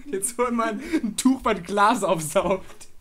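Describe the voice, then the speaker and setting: A man's voice: sits high, animated, takes it slowly, a bit bright. A man performing improvised comic talk and mock announcements for a radio parody.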